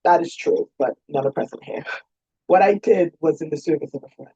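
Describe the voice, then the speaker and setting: A gallant young gentleman investigator , read by a woman, courteous and wry. He is understated and husky in an audiobook romance, with a dull recorded sound. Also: low voice, fairly quick, dry recording.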